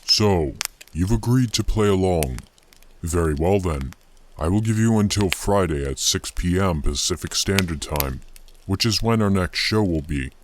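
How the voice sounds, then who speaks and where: A man playing a taunting, theatrical male kidnapper who speaks deliberately in a horror story, menacing and sadistic. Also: low voice, lively intonation, noisy background, voice pushed down. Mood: taunting menace. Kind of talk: acted villain in horror story narration.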